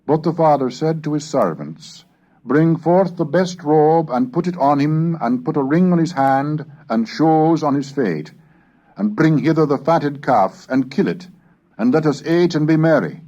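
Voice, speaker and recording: A man reading a scripted educational narration in a formal, measured, oratorical manner. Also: fairly high pitch, expressive, dark tone. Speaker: lecturer and reader. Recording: dull lo-fi cassette recording.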